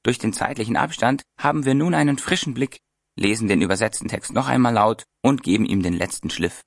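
A man who works as a translator, answering interview questions in calm, earnest, read-out sentences. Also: mid-range voice, fairly quick, creaky, no echo, studio quality.

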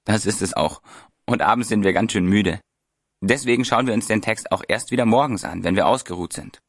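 A man who works as a translator, answering interview questions in calm, earnest, read-out sentences. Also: lowish voice, a little fast, dry recording, studio quality.

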